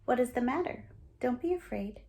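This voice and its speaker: A woman voicing a kind, cheerful male shepherd in a storybook, speaking in a reassuring, gentle way. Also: a little high, expressive, normal speed, creaky, slight background noise.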